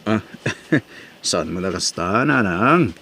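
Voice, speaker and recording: A man's voice: fairly low pitch, expressive, slow pace, sharp tone, breathy edge, exaggerated voice. A man with an Ilocano accent from the Philippines playing a money-minded, hesitant sidekick in a radio-style comedy drama. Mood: puzzled.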